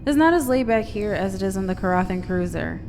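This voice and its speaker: A woman voicing a no-nonsense female ship captain who talks briskly and gives warnings. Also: medium pitch, animated, darkish tone, a little hiss or hum, close to an everyday voice. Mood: cautioning. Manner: brisk, cautioning, bossy.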